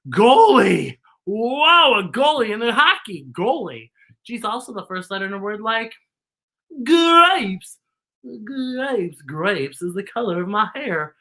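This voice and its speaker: A woman's voice: mid-range voice, big pitch swings, slow pace, sharp tone. A woman hosting a children's story time in a playful, theatrical, giggly manner, teaching letters. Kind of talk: playful children's show presenting with letter lessons and singing.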